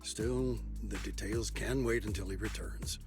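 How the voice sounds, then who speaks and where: A man playing a stern elder of a martial order in a video game, speaking formally, solemnly and deliberately. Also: on the low side. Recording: music clearly behind the voice.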